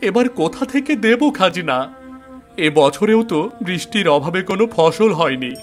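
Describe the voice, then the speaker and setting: A man voicing a poor, desperate young farmer in an animated folk tale, plaintive and protesting. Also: high voice, moderate pace, faint music behind. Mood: despairing.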